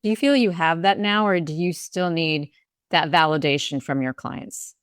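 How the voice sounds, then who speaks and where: Woman podcast host, low smooth voice, dry close sound, asks careful questions. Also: moderate pace, no room sound. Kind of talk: interview questioning.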